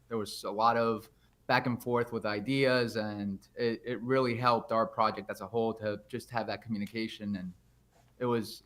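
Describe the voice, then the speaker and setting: Young guy from Spain, bright forceful tone, earnest, trips over words. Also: fairly low pitch. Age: young adult. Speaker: guest game developer. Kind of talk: casual interview.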